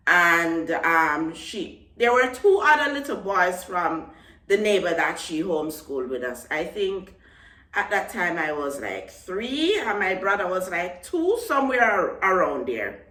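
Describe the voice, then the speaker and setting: A woman's voice: lowish voice, big pitch swings, measured pace, bright, forceful tone, echoey room, far from the mic. A young woman from Jamaica with a Jamaican accent, chatting casually to her vlog audience and repeating words for emphasis. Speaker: vlogger. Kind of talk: casual talk to camera.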